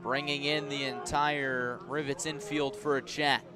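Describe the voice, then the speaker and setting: A man with a fairly high voice gives live baseball play-by-play for radio. He describes the action in clipped, measured phrases and lifts his delivery when a play matters. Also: music in the background. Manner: measured description rising to excited calls on key plays. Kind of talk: live radio sports commentary.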